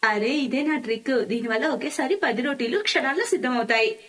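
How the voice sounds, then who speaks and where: A woman's voice: high voice, animated, brisk, a bit bright. A woman voicing a confident, breezy young housewife with a casual, reassuring manner in a moral-story cartoon. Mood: proud.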